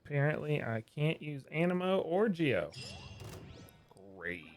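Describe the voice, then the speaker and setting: Low-voiced, animated woman game streamer. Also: a little slow, faint music behind. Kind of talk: casual playthrough commentary.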